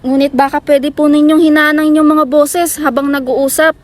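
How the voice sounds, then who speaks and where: A woman's voice: sits high, little pitch movement, normal speed, noisy background, close to an everyday voice. A woman with a Filipino accent playing a polite but unafraid homeowner in an amateur radio drama. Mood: polite, firm. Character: polite, unafraid homeowner complaining of noisy soldiers.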